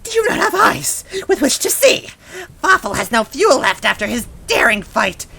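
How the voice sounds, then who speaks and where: A man's voice: big pitch swings, moderate pace, pushed and bright, heavily acted. A man voicing a furious small villain in a story, screeching and ranting in mangled, broken grammar. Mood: enraged, exasperated. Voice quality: raspy, screechy. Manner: shrill ranting with mangled foreign-sounding grammar.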